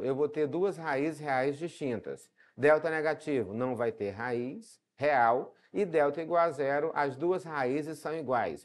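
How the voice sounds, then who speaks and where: A man with a Brazilian accent teaching a math video lesson step by step, often posing questions to check understanding. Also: fairly high pitch. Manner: didactic, asks rhetorical questions and checks in.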